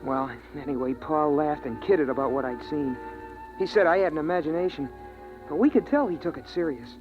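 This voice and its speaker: A man's voice: a little high, a little fast, close to an everyday voice. Man playing an earnest boy, tinny old radio. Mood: reflective. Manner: plain, homespun, boyish phrasing. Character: earnest, wondering young boy narrating a bleak frozen world. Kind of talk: 1950s radio drama acting and first-person narration. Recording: tinny vintage radio recording with music.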